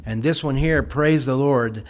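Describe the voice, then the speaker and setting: A man with a Texas drawl talks to a church crowd about his mission work. He speaks very slowly, restarting his sentences often. The tone stays folksy and plain. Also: medium pitch. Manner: slow, folksy, halting with many restarts.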